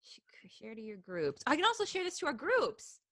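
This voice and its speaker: Bright, chatty woman podcast host. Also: sits high, huge pitch swings, a little fast. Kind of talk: casual chat setting up a livestream.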